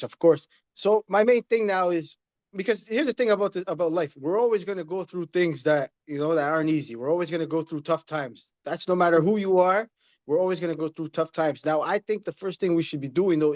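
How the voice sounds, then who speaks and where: A man in his thirties with a slightly high voice, speaking earnestly and circling back to his points like a motivational speaker. The recording sounds a bit dull and muffled, as if through a modest mic. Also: a little fast. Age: 30s. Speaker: guest, inspirational speaker. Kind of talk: casual interview conversation.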